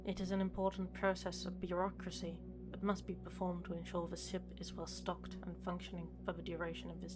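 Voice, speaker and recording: A woman playing a dutiful, formal starship prefect with a measured, official manner in a sci-fi audio drama. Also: lowish voice, lightly acted.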